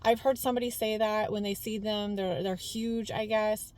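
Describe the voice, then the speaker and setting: A woman vlogger from Canada with a naturally high-pitched voice, rambling casually between points with lots of fillers. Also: steady tone, moderate pace, darkish tone. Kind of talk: casual talking-head commentary.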